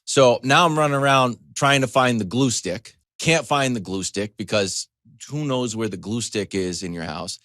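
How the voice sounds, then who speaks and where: A man in his forties, a sports talk host, telling a comedic story in a casual, animated way with choppy asides and acted-out quotes. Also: mid-range voice, normal speed, no echo, studio quality. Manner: animated, acts out quotes in dialogue.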